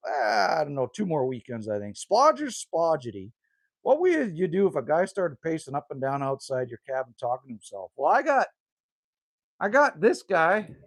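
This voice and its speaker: A man's voice: fairly high pitch, huge pitch swings, normal speed, vocal fry, no echo. A man, chatting casually and rambling with viewers as a livestream host outdoors by a rural cabin. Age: 50s. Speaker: livestream host reading chat.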